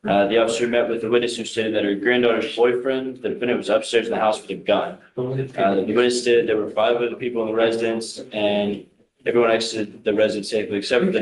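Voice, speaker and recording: In a reverberant courtroom, an American man with a fairly low voice speaks as a prosecutor. He delivers a formal read-out of case facts. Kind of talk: formal reading of a case summary. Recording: echoey courtroom.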